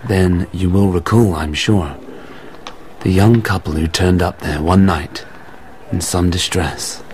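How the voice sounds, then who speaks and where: Smooth polite gent who keeps pressing, deep voice, radio drama, slight noise. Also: male voice, takes it slowly, darkish tone. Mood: politely probing. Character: suave, polite, quietly relentless gentleman agent.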